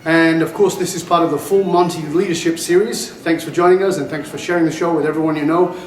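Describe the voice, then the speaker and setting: A man's voice: on the high side, steady tone, fast talker. Male interviewer in an echoey room. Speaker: podcast host and interviewer. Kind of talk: conversational interview. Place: conference venue. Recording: roomy echo, music behind.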